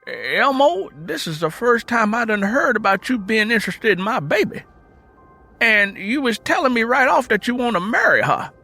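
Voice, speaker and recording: Man acting hot-tempered growling Southern father, high-pitched. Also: sing-song, normal speed, creaky, bright, forceful tone, faint music behind, exaggerated voice. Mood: suspicious. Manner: folksy rural dialect, blustering. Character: hot-tempered hard-drinking rural father, gruff and suspicious.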